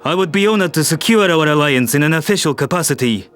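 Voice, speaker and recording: Man playing a higher-voiced, statesmanlike world leader. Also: animated, fast talker, fairly bright tone, soft background music. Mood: solemn, supportive. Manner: formal, statesmanlike. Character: composed male human world leader, diplomatic, measured. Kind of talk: audio drama dialogue.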